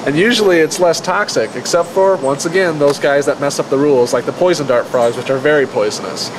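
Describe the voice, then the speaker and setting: A man's voice: a little high, fairly quick, rough recording. A man speaking as a zoo keeper, giving a casual, explanatory educational presentation. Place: zoo exhibit area.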